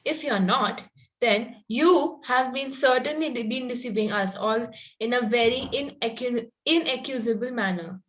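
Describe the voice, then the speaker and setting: A woman's voice: fairly high pitch, moderate pace, fairly bright tone, close to an everyday voice, rough recording. A woman reading an earnest, precocious young Victorian lady in a comedy table read, formal with some stumbles over the script. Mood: prim, earnest.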